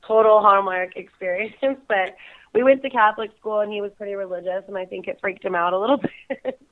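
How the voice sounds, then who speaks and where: A woman's voice: medium pitch, brisk. Young woman from the Bay Area calling in, giggly, laughs a lot, narrow phone-line sound. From Bay Area, California. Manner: giggly, laughs often between phrases. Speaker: guest. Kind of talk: casual phone interview. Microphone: phone call.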